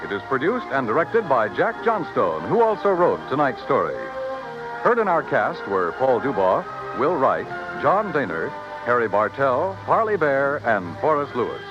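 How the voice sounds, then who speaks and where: A man's voice: mid-range voice, huge pitch swings, moderate pace. An American man announcing an old-time radio show in a classic mid-century broadcast style. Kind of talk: 1950s-60s radio announcing. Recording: muffled old radio recording with music.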